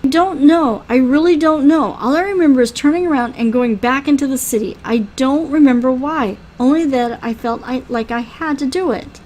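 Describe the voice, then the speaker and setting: A woman's voice: high-pitched, normal speed, hardly any pauses, darkish tone. A woman reading a visual novel aloud as an injured, self-pitying young noblewoman, plaintive and emphatic. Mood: despairing.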